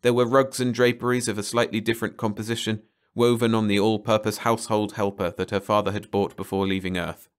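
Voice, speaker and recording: A man's voice: fairly low pitch, fairly bright tone. A man reading a short story aloud as a scripted bedtime audiobook narration.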